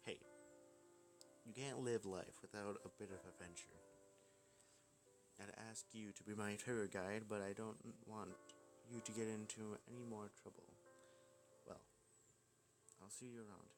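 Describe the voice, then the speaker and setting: A man's voice: lowish voice, steady tone, unhurried, pauses often. A man voicing a carefree, adventurous young woman in a visual novel, talking in a breezy, teasing way. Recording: music behind the voice.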